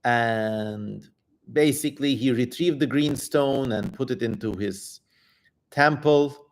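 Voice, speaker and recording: A man's voice: medium pitch, takes it slowly, a little hiss or hum. A man hosting a livestream in casual chat, with a wry, informal, digressive way of talking. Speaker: livestream host.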